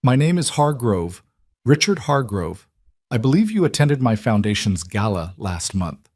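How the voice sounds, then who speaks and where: A man voicing an older businessman who speaks in a measured, formal, polite way, in an audio story. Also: mid-range voice, big pitch swings, darkish tone, no echo, clean studio sound. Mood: courteous, measured. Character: older, measured, courteous businessman making a proposition.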